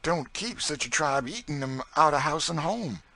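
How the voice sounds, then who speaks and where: A man's voice: on the high side, expressive, moderate pace, bright, forceful tone, pitched above the performer's own voice. A man voicing an eager, motherly enslaved woman cook in an audiobook, with a folksy dialect and exclamatory delivery. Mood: coaxing. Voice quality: folksy dialect speech with dropped sounds. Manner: exclamatory, emphatic. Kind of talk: audiobook character dialogue.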